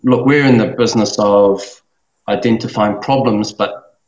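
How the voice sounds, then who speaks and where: A man in his thirties from New Zealand speaks as an interview guest, his voice sitting low. He thinks aloud, hesitating and restarting often. The sound is roomy and a little dull. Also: steady tone, unhurried, darkish tone. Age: 30s. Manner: thoughtful, with many fillers and restarts. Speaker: guest, business owner. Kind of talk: casual interview talk.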